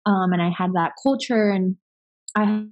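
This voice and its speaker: A woman in her thirties, born in Venezuela, talking as a podcast guest. She runs her stories together in quick, chatty sentences full of fillers. Her voice sits at an ordinary middle pitch for a woman. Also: steady tone, a little mellow, dull audio. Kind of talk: casual conversational interview.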